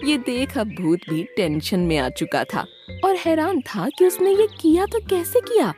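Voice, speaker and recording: Animated woman narrating a children's story. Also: high voice, unhurried. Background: background music.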